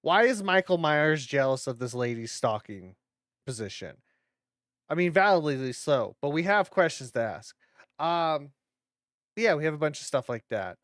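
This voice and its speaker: A man's voice: a little high, big pitch swings, normal speed, fairly bright tone, no echo, clean studio sound. A man hosting a horror watch-along, giving casual reaction commentary with playful, rambling asides.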